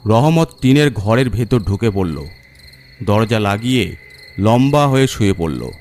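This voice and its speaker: A man narrating a scripted horror story in a suspenseful, deliberate manner. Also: lowish voice, animated, a little mellow, a little hiss or hum.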